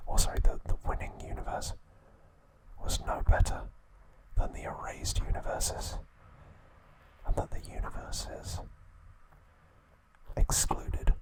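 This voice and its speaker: A man hosting an ASMR video, recapping a story in a soft, unvoiced whisper. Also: slow, pauses often, rough recording. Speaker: ASMR host.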